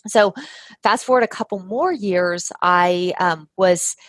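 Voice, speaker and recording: A woman's voice: lowish voice, slow, no room sound. A woman guest telling her own health story in an earnest, emphatic conversational way.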